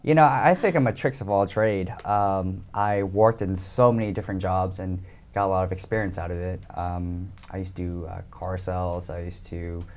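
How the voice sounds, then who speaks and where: Deep-voiced man chatting easily. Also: moderate pace. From United States. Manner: relaxed, lots of ums and laughs. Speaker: interview guest, model. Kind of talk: casual interview chat.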